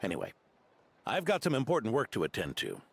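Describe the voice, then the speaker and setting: Deep gravelly old knight captain. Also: male voice, wildly swooping pitch, a little fast, exaggerated voice. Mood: easygoing. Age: older. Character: kindly, unhurried old male knight captain, grandfatherly. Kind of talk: video game voice acting.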